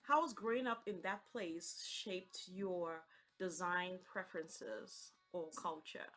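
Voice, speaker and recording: A woman's voice: fairly low pitch, slow pace, a bit bright, faint music behind. A woman hosting an interview in a friendly, chatty style with an effusive, encouraging manner. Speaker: host.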